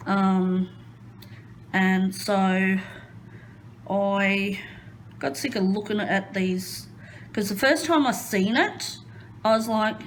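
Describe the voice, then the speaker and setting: An Australian woman chatting nervously while she works on a craft, rambling with many pauses, fillers and small laughs, filmed indoors on a phone held over her hands. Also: mid-range voice, a little hiss or hum. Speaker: crafting vlogger. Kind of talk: nervous casual chat while diamond painting.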